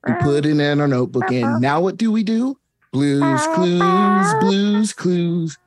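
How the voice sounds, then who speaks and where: A woman's voice: fairly low pitch, big pitch swings, slow, loud music behind the voice. A woman reading the part of an upbeat children's show host in a comedic table read, with a chipper, sing-song delivery.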